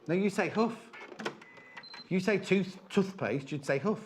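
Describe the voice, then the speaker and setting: A man's voice: high-pitched, lively intonation, a little slow, a little hiss or hum. A middle-aged man presenting a live shopping show from a TV craft studio, chatty and jokey, rambling between banter and sales patter. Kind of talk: live sales demo chat.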